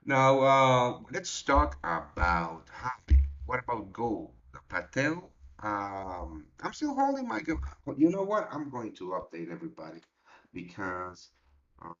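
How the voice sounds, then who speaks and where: A man talks slowly through a casual market livestream, reading chat messages and answering them directly. His voice sits fairly low, and he fills his pauses with lots of ums and uhs. The audio is dull and lo-fi. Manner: relaxed, halting, many fillers. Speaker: trading show host.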